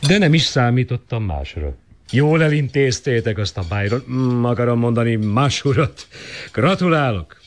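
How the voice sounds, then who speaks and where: An actor playing a benevolent, genteel middle-aged councillor and father with a measured manner in a radio drama. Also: mid-range voice, big pitch swings, natural voice. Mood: approving. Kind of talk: 1980 radio drama acting.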